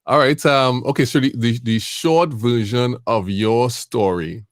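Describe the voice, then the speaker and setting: A man's voice: medium pitch, unhurried, dry recording, studio quality. A man hosting a live-stream interview, eager and chatty, often repeating words as he talks. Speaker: host. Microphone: video call.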